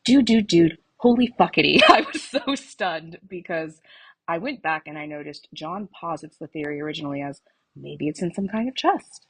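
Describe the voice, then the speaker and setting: A woman with a slightly husky voice of average pitch, recorded close and dry. She talks like an excited fan leaving a message, casual and animated, with a giggly edge. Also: normal speed, no room sound. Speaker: podcast listener sending a voice message.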